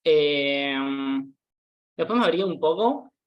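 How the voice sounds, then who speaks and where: A man's voice: fairly high pitch, expressive, takes it slowly, highs cut off. A man in his twenties with a Rioplatense Spanish accent, a tour guide talking casually as a podcast guest, hesitant with many fillers and restarts. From Argentina. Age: 20s. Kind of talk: casual interview chat.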